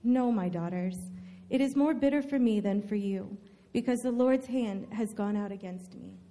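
A woman playing a grieving older widowed mother-in-law in an earnest, pleading, deliberate dramatic scripture reading. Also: medium pitch, dark tone, close to an everyday voice. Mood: pleading, bitter. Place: large church hall, live stage reading. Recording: long reverb of a large hall.

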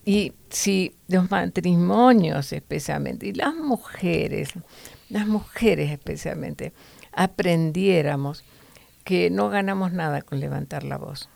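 Gravelly-voiced woman teaching Bible lessons. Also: on the low side, slow pace, darkish tone, dry recording. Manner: earnest, preachy, with fillers and restarts.

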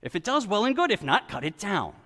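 A gentle gardener played by a male preacher pleads patiently in a sermon parable. The voice is high and very lively in pitch, coaxing and reasonable. It rings with long hall reverb. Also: fairly quick. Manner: coaxing, hesitant opening, reasonable. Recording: reverberant hall, church-like echo.

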